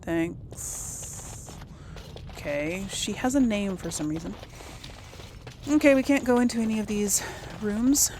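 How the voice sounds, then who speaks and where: A woman's voice: medium pitch, slow pace, long pauses, a little mellow, a little hiss or hum. A woman doing casual video game commentary, rambling and thinking aloud in an unsure way. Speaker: video game let's-play host.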